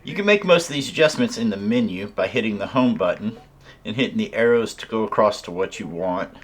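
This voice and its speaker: A man with a fairly low voice walks through a product in a casual, hands-on way. He explains each step informally, like a reviewer showing a viewer around. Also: lively intonation, fairly quick. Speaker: radio reviewer.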